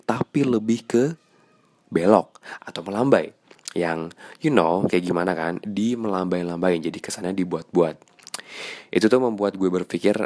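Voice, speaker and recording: Young male host, deep voice, slightly congested, casual confiding podcast chat. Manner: chatty, slangy, confiding. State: stuffy nose. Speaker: radio announcer and podcast host.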